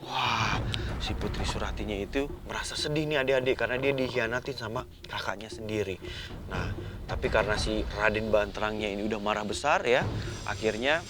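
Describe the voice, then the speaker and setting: A man's voice: lowish voice, a little fast, noisy background. A man telling a story to children in a colloquial, exclamatory way, addressing his young listeners directly. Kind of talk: animated oral storytelling for children.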